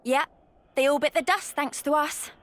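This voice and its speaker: A brash young soldier girl from a video game, pitched high. She is blunt, impatient and slangy. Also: moderate pace, fairly bright tone. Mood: dismissive. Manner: slangy, brusque. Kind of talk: scripted game dialogue.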